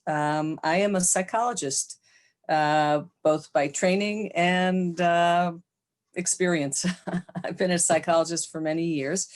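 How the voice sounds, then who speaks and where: Low-voiced older American woman. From United States. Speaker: podcast guest, psychologist. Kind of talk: conversational self-introduction.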